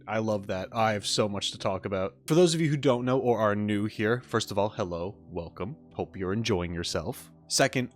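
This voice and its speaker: A man hosting casual reaction commentary, chatty and enthusiastic about what he is watching. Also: on the low side. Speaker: YouTube host and animator.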